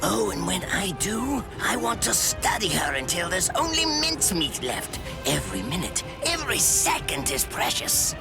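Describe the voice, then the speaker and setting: An actor playing a gleefully sadistic mad-scientist captain in an anime game, theatrical and mock-polite with condescension. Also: male voice, high-pitched, sing-song, normal speed, creaky, few pauses, exaggerated voice. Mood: menacing. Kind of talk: anime video game voice acting. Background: music.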